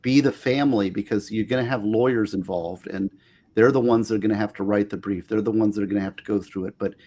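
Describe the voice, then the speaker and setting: A man with a fairly low voice, a lawyer guest on a video-call livestream. He talks in a folksy, rambling way, giving earnest, heartfelt advice. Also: steady tone, fast, a little mellow. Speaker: trial and appellate lawyer guest. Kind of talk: casual livestream chat.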